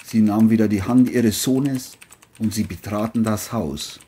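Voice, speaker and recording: A narrator reading a scripted crime audio drama in a mellow, husky voice. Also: mid-range voice, a little slow.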